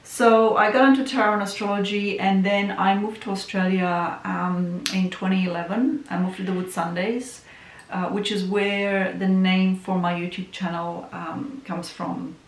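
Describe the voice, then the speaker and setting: A woman from Romania with a Romanian accent, a tarot reader introducing herself in a casual, soft, musing way with lots of ums. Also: medium pitch, steady tone, unhurried. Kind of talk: casual self-introduction vlog. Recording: echoey room with faint hiss.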